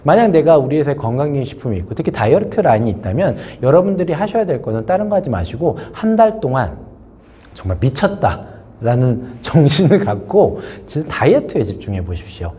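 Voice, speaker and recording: A man's voice: mid-range voice, very animated, a little fast, warm tone. A man hosting a spoken business lesson, talking casually with many fillers and asides while nursing a sore throat from a cold. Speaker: host giving a business lesson. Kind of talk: casual spoken lecture to camera.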